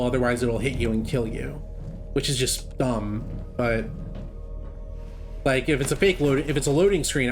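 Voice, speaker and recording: Casual male speedrun commentator over game music. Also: mid-range voice. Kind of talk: casual live gameplay commentary. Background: video game music.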